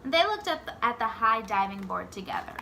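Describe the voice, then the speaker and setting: A woman reading a picture book aloud like a teacher, expressively, pausing to put simple questions to young children. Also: on the high side, very animated, creaky, sharp tone, a little hiss or hum. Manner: pauses to ask simple questions and echo the answers.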